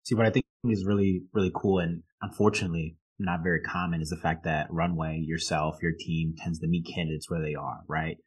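Podcast interviewer: man, deep and slightly rough voice, wordy, casual questioning style. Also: fairly even intonation, fairly quick, few pauses. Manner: wordy, rephrasing questions as he goes. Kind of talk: conversational interviewing.

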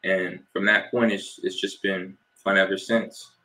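A young man from New Jersey co-hosting a casual podcast, relaxed and easygoing as he gives shout-outs and asks questions. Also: sits low, unhurried, poor audio. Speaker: podcast co-host.

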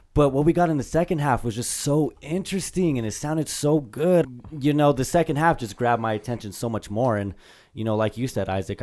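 A young man hosting a casual music podcast, his voice slightly hoarse, laid-back and easygoing. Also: on the high side, darkish tone. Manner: laid-back, laughs easily. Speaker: podcast host. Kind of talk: casual album review chat.

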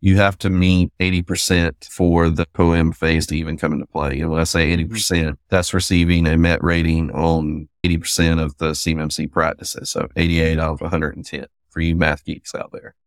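A man's voice: very deep voice, flat delivery, normal speed, hardly any pauses, dry recording, clean studio sound. A man with a Southern US accent, a guest on an interview, explaining a process step by step in a methodical, plainspoken way with folksy asides. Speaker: guest, cybersecurity assessor.

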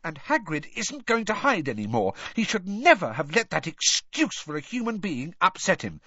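A man voicing a bossy, fiercely loyal teenage schoolgirl in an audiobook, with a shrill, emphatic, scolding delivery. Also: fairly even intonation, moderate pace, pushed and bright, breathy edge, dry recording, muffled recording. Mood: indignant, urgent.

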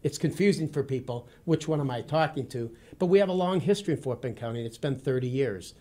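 A man in his fifties with a Midwestern accent from Wisconsin, talking as a studio interview guest. He speaks in an earnest, formal, steady way and often addresses the host by name. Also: medium pitch, fast. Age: 50s. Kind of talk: radio interview. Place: radio studio.

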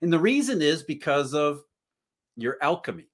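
A man's voice: on the high side, sing-song, normal speed. A man speaking as a podcast guest, earnest and thinking aloud with restarts. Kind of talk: casual podcast conversation.

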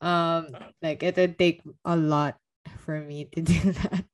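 A woman with a slightly low voice chats casually about books as a co-host. She is blunt and likes to tease, and laughter often slips into her speech. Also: steady tone, measured pace. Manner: teasing, blunt; often laughs while talking. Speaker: book podcast co-host. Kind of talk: casual book discussion.

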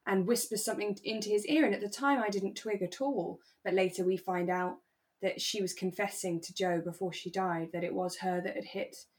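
A British woman speaks in a middle-range voice as a podcast co-host. She recaps a drama in relaxed chat, explaining as she goes like someone telling a story to a friend. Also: medium pitch, fairly quick.

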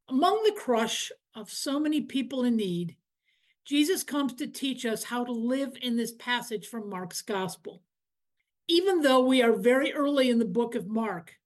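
A woman reading a scripted scripture reflection in a measured, earnest way with clear phrasing. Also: on the high side. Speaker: library director giving a scripture reflection. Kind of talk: scripted devotional reflection, read aloud.

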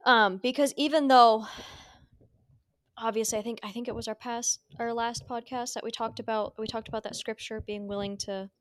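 A woman co-hosting a casual faith podcast, earnest and reflective, her voice a little hoarse and worn. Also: a little high, steady tone, normal speed, no echo. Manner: earnest, reflective, with frequent restarts and laughs. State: losing her voice, slightly hoarse. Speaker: podcast co-host.

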